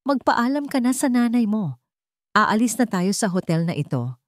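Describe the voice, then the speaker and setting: A woman's voice: mid-range voice, sing-song, normal speed, no echo, pitched above the performer's own voice, lightly acted. Romance leading man, voiced by a female narrator: young husband, composed, polite, businesslike, protective, in a dry recording. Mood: firm.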